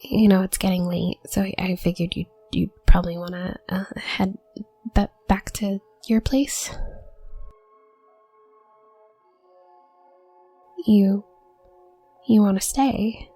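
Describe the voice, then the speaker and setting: A woman playing a shy, anxious, apologetic young witch student in an audio roleplay, with a soft, breathy voice and a heavy nervous stutter. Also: medium pitch, fairly even intonation, unhurried, faint music behind. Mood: nervous, hesitant. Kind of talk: intimate audio roleplay acting.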